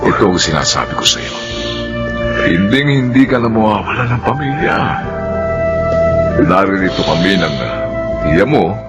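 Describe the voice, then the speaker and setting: A man's voice: fairly low pitch, expressive, moderate pace, long pauses, muffled recording, loud music behind the voice, close to an everyday voice. A man playing a reassuring, folksy middle-aged uncle in a Filipino radio drama.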